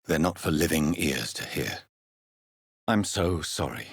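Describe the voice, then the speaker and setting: Grave gentleman doctor from a video game, really low husky voice, formal and precise, very dry close sound. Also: lively intonation, no echo, heavily acted. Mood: sorrowful. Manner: formal, measured, articulate diction. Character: grave, educated gentleman doctor, stern yet courteous. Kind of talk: dramatic video game dialogue.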